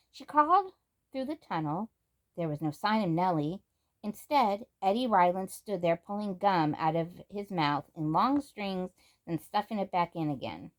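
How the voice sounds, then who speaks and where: Animated woman reading a children's book. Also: on the low side. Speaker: storybook reader. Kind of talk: expressive read-aloud narration of a children's book.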